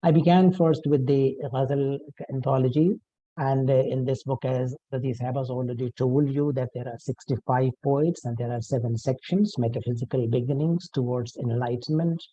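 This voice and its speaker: Older male academic, native Urdu speaker; very dark, slightly husky voice, measured delivery. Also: medium pitch, steady tone, no echo, lo-fi sound. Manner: measured, formal, with frequent "uh" pauses and self-corrections. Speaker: author presenting his book. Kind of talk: formal academic talk.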